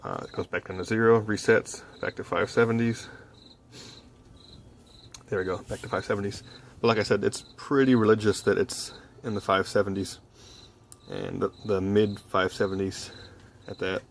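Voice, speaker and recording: Low, flat-toned young man with creaky voice. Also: moderate pace, slight background noise. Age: young adult. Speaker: hobby electronics vlogger. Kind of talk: casual project walkthrough. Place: small cluttered home workbench indoors. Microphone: handheld phone camera.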